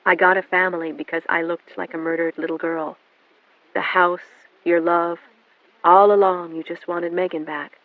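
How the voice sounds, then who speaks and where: A woman reading an audiobook voices an adopted young daughter, quiet and then insistent and demanding. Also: fairly low pitch, little pitch movement, normal speed, dry recording, close to an everyday voice. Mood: cold. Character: adopted young adult daughter, cold and accusing. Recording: narrow phone-like band, dry.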